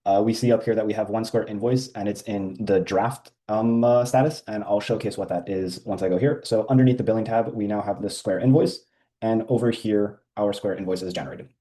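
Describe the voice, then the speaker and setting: A man walking through a software feature in a casual screen-share demo, with frequent ums and uhs. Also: on the low side, fairly even intonation, a little fast.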